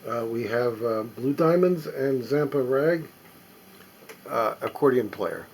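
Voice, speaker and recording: A man casually talking to himself as he sorts a stack of old records, reading the labels aloud in a halting, musing way. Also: mid-range voice, lively intonation, vocal fry, a little mellow, a little hiss or hum. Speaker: record collector. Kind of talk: casual running commentary while sorting records.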